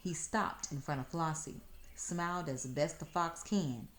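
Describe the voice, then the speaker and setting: Female storybook narrator, slightly low register, expressive scripted read-aloud. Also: unhurried. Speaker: storybook reader.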